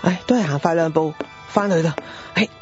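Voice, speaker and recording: A man's voice: on the high side, a little fast, lightly acted. A male actor plays a harried father in a radio drama, grumbling and sighing with a Hong Kong accent. The recording is dull and lo-fi, with faint music underneath. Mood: exasperated. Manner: sighing, exasperated. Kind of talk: Cantonese radio drama acting. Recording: dull lo-fi audio with background music.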